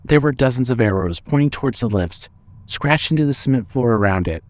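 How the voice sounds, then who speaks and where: Measured male horror story narrator. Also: medium pitch, lively intonation, a little mellow, dry recording. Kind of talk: scripted horror audio drama narration.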